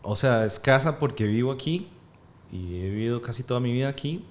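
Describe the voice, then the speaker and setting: A man in his thirties, born in Peru and speaking with a Costa Rican accent, talks thoughtfully as a guest, with many pauses and fillers. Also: mid-range voice, expressive. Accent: Costa Rican Spanish. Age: 30s. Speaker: actor and guest. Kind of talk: casual interview.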